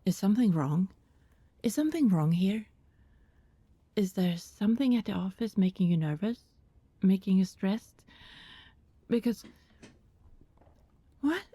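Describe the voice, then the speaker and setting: A woman playing an anxious, lovelorn vampire neighbor in an ASMR roleplay, speaking to the listener in halting, secretive, coaxing rambles that slip into whispers. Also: a little high, dark tone, no room sound. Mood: alarmed, pleading. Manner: halting, rambling, breathy asides dropping into whispers.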